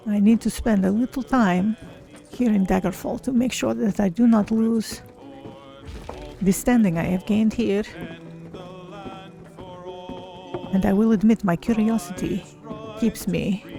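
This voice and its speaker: Lady roleplaying a careful curious khajiit cat woman, formal and measured, kinda creaky, mellow, music behind. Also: mid-range voice. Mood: musing. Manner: measured, formal, speaks of self in third person. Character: curious, cautious female cat-folk Khajiit adventurer. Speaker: streamer roleplaying a character. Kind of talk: in-character game roleplay narration.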